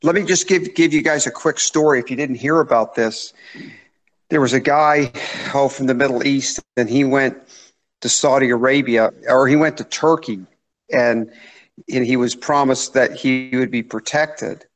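A man's voice: mid-range voice, fairly even intonation, normal speed, low-quality recording. A man speaks bluntly in a group discussion. He often restarts his sentences as he goes. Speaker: group member. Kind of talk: casual discussion.

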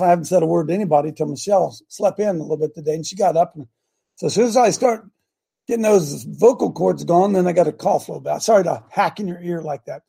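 Gravelly hoarse older man. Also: sits high, mellow. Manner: rambling, clears throat often. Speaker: show host. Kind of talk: casual live chat show.

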